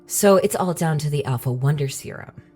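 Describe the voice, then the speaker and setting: Low, mellow female interviewer. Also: moderate pace, slightly rough, soft background music. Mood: composed, probing. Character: polite probing female media interviewer. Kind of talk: audio drama broadcast interview acting.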